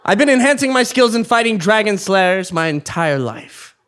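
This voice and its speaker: A man plays a haughty, scheming king in an absurd stage comedy. The voice sits high for a man and swoops theatrically, full of pomp. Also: animated, moderate pace, a bit bright, clear, resonant tone. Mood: haughty, boastful. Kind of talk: comic stage play acting before a live audience.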